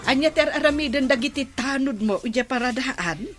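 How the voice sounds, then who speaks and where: A woman acts out an exasperated village wife from an Ilocano comedy drama. Her voice is sharp, bright and a bit high. She scolds and questions with an Ilocano accent, nosy and impatient. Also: moderate pace, faint music behind. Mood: scolding.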